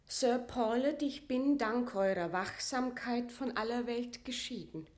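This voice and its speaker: A proud, imperious captive queen played by a woman in a classical radio drama. Her voice sits fairly high, and she declaims formal verse with measured, aggrieved dignity. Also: darkish tone, natural voice. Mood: aggrieved, dignified.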